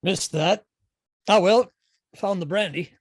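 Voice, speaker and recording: A man plays a laid-back, flippant sidekick in a stage comedy. His voice sits high for a man, with breezy offhand delivery and a very dry sound. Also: slow, no echo. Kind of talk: table read of a comedy play.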